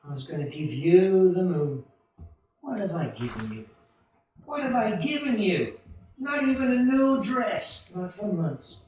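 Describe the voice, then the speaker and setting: Man acting an earnest, high-voiced young man, echoey. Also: big pitch swings, measured pace, a little mellow. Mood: dejected. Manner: stammering, folksy, emphatic. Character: earnest, excitable, self-doubting young small-town family man. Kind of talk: 1940s radio play acting. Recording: distant, very echoey stage hall.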